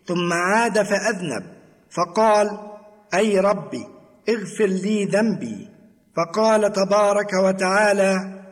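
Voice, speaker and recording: A man giving a measured religious recitation as a reader of sacred texts. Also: high voice. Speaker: hadith reciter. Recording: long reverberant hall echo.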